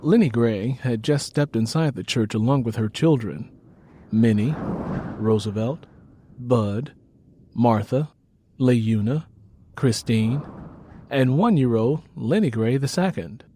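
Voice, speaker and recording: A man's voice: mid-range voice, unhurried, pauses often, mellow. A man reading a scripted story as an audiobook narrator, in a dramatic storytelling style.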